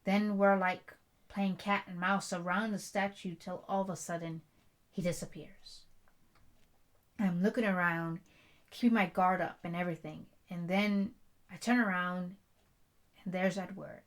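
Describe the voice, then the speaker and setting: A woman's voice: on the low side, fairly even intonation, measured pace, long pauses, lightly acted. A woman playing a stubborn bride-to-be in an intimate ASMR roleplay, talking in a blunt, emphatic, rambling storyteller's way. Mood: uneasy storytelling. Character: headstrong, stubborn bride-to-be, werewolf prince's future wife.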